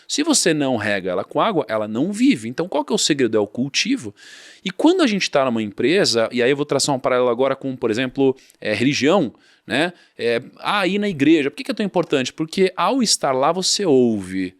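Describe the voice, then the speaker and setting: A man's voice: medium pitch, big pitch swings, fairly quick, no echo, studio quality. A Brazilian man talking casually on a podcast, telling his story and driving home points by repeating phrases. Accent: Brazilian Portuguese.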